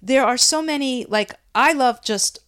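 A woman in her fifties talks in a loose, chatty way, laughing and circling back as she speaks. She sounds relaxed and unscripted. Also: high-pitched, unhurried, clear, resonant tone. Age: 50s. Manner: chatty, laughing, rambling with restarts. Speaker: beauty brand founder. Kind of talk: casual interview chat. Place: Brooklyn.

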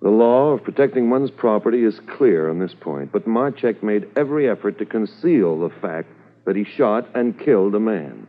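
Male actor as senior police officer, 1950s radio drama. Low, formal, authoritative, tinny recording. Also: expressive, moderate pace, lightly acted. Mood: formal, judicious.

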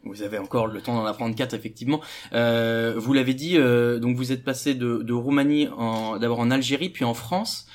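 A man's voice: mid-range voice, fairly even intonation, normal speed, few pauses. Casual male podcast host. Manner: casual, chatty questioning. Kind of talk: interview podcast. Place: ministry office.

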